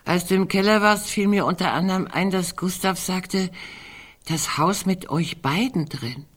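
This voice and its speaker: A woman's voice: on the low side, moderate pace, breathy edge. An actress playing a wry, musing, fussy older woman in a literary radio drama, digressing as she talks. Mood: brooding, wry.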